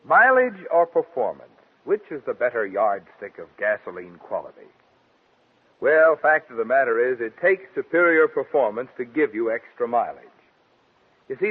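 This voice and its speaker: A man announcing on 1940s radio in a polished, emphatic, measured commercial style. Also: fairly high pitch, slightly rough. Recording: tinny 1940s radio broadcast.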